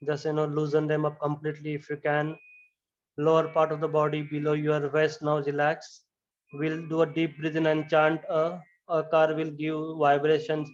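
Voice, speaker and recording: Male yoga instructor, slightly high and very even voice, calm repetitive guidance, muffled low-fi recording. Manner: slow, repetitive calm instructions with frequent "you know". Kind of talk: guided relaxation and chanting.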